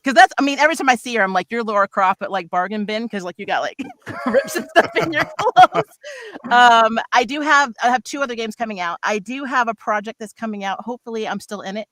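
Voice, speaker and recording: Middle-aged female voice actor in panel chat: crisp bright tone, dry sound, candid, slangy, self-deprecating. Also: fairly high pitch, lively intonation, brisk, no room sound. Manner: informal slangy phrasing. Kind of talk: casual chat on a livestream panel.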